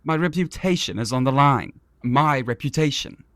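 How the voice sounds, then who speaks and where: An actor playing an arrogant, vain and petulant prince in a fantasy audio roleplay, speaking in a pompous, theatrical and condescending manner. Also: male voice, medium pitch, animated, normal speed, fairly bright tone, no room sound, lightly acted. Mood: petulant, indignant. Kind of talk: scripted ASMR roleplay audio drama.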